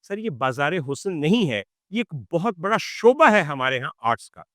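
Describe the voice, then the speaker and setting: Man delivering indignant, animated spoken commentary. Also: a little high, dry recording, studio quality. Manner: rhetorical questions, dramatic pauses and repetition for emphasis; indignant, sarcastic. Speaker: commentary show host. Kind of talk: opinionated monologue commentary addressed to viewers.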